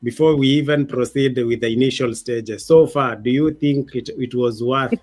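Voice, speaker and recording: Relaxed male interviewer, mid-range voice, light music bed behind. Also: mid-range voice, normal speed. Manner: relaxed, banters and finishes the guest's sentences. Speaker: podcast host. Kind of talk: casual interview conversation.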